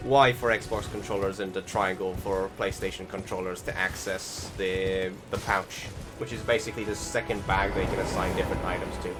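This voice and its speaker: Young male gameplay narrator, lowish voice, chatty and rambling, game music underneath. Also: steady tone, brisk, hardly any pauses. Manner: rambling, many fillers and restarts. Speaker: gameplay commentator. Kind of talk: casual voice-over commentary on gameplay. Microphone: desktop recording setup.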